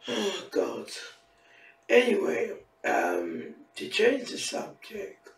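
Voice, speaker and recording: A hoarse woman in her fifties who is losing her voice, rambling through a casual vlog and repeating her phrases. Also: medium pitch, huge pitch swings, extremely slow, echoey room, distant mic, low-quality recording. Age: 50s. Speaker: vlogger.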